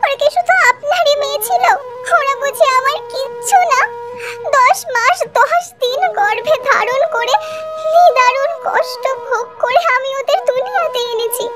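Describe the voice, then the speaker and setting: A woman's voice: very high-pitched, a little slow, fairly bright tone. A woman voicing a meek, anguished cartoon mother who pleads deferentially with her harsh husband over background music. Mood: tearful pleading. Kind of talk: cartoon melodrama dialogue.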